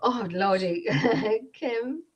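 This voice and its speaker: An Irish woman co-hosting a loose live-stream chat, her voice sitting a little high and breaking into giggles. She talks in a chatty, self-mocking way. The sound comes through a dull, lo-fi home microphone. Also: lively intonation, very slow. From Ireland. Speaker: podcast co-host. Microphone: home computer microphone on a video call. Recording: dull lo-fi online call audio.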